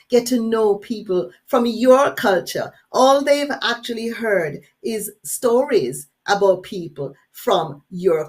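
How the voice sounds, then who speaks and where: An energetic woman with a Jamaican accent running a workshop. She speaks to her audience directly and puts questions to them, at a pitch that sits in the middle of the usual range for a woman. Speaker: workshop facilitator. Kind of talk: live webinar workshop presentation.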